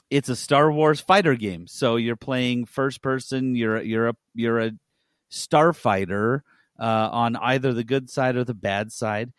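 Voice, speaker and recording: A man's voice: mid-range voice, no echo, clean studio sound. A man hosting a casual gaming news podcast, talking in a blunt, opinionated way.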